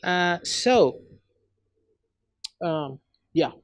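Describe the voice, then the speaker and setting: Male panel moderator, high voice, slow and halting, handheld mic on a conference stage. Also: lively intonation, no room sound. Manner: hesitant, halting, many restarts. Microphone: handheld microphone.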